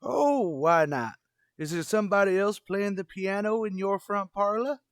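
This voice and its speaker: High-voiced, folksy old lovestruck custodian. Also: male voice, moderate pace, dry recording, studio quality. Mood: stubborn, lovestruck. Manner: folksy drawling rustic turns of phrase. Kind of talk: old-time radio comedy acting.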